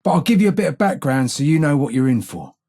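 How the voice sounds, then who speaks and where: An actor playing a gruff, streetwise London gang tough in a video game, with a rough gravelly British working-class accent and blunt, slangy, taunting delivery. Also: male voice, on the high side, expressive, fast, dark tone. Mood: gruff, confiding. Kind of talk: video game character voice lines.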